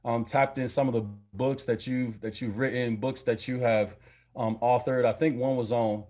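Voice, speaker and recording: A man's voice: medium pitch, fairly even intonation, moderate pace, darkish tone. A man hosting a church leadership podcast, playful and slangy as he introduces his guest. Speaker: podcast host. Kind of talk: podcast interview.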